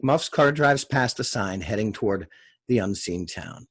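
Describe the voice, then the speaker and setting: A man reading screenplay stage directions at a table read, in measured, short clipped phrases. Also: lowish voice, animated, breathy edge.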